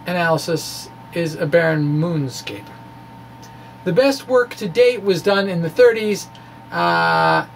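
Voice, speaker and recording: A man giving an informal, animated explainer of his own material, with wry asides and a gravelly edge to his voice. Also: high-pitched, a little hiss or hum, poor audio. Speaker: physics blogger presenting his own puzzles. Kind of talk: informal explainer, reading and discussing a blog.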